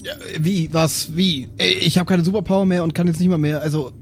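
A man's voice: on the high side, fairly even intonation, brisk, slight background noise, natural voice. A man playing a headless former speedster superhero in a tabletop game, a casual dude with a plaintive manner. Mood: indignant, pleading.

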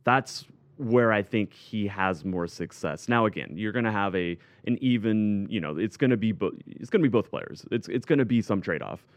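A man's voice: on the low side, animated, moderate pace, hardly any pauses, no room sound. A man giving casual sports analysis on a panel, thinking aloud somewhat hesitantly.

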